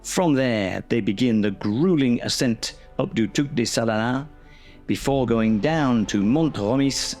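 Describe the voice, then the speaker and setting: A man narrates a race documentary in a measured, informative way. His voice sits at an ordinary middle pitch. Soft music plays underneath him. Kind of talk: scripted narration.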